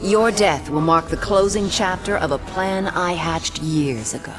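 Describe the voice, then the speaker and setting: Game villainess female actor: low, menacing, deliberate, gloating schemer. Music underneath. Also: close to an everyday voice. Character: cold scheming female villain of a religious order. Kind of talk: video game cutscene voice acting. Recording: music behind the voice.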